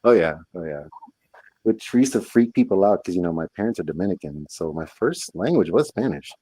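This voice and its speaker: A middle-aged man hosting a casual chat on a video call, animated and quick to laugh. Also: low voice, breathy edge, no room sound, low-quality recording. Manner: animated, laughs often, mimics others in quotes. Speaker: host.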